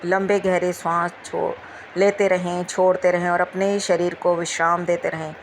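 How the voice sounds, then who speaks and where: A woman leading a guided meditation, giving gentle instructions with repeated phrases and long pauses. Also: lowish voice, little pitch movement, slight background noise.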